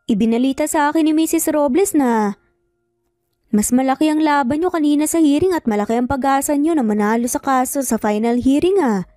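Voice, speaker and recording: An encouraging, cheerful big sister as a woman narrator plays her in an audio story. She questions eagerly in a high, lifted voice, with music underneath. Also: fairly quick, mellow, dry recording, studio quality, natural voice.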